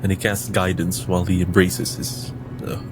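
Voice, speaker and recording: A man's voice: low-pitched, little pitch movement, moderate pace, noisy background. A man playing a tabletop role-playing game, narrating his character's actions. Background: music.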